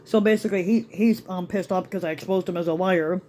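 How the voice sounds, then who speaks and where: A man ranting in a rambling vlog to his channel, with many restarts and fillers, his voice still slightly raspy after being sick. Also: sits high, steady tone, fast talker, darkish tone, slight background noise. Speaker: YouTube vlogger. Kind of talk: rambling rant to camera.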